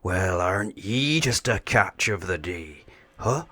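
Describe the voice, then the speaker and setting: Storybook pirate captain, played by a male reader: jovial, hearty, forceful and bright, full of salty arrs. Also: low-pitched, animated, slow, no echo, full character voice. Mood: delighted, gallant.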